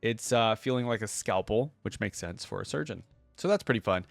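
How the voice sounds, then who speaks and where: A man's voice: fairly low pitch, animated, a little fast, vocal fry, no room sound, soft background music. A man hosting a casual reaction commentary on game skins, thinking aloud in an informal way. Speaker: YouTube reaction host.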